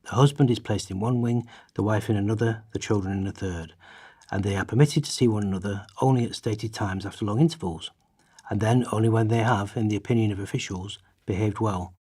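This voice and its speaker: Man acting grave, husky social chronicler. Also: on the low side, a little fast, warm tone, dry recording. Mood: grave, measured. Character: grave male social writers of past centuries chronicling poverty. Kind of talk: dramatised reading in a radio play.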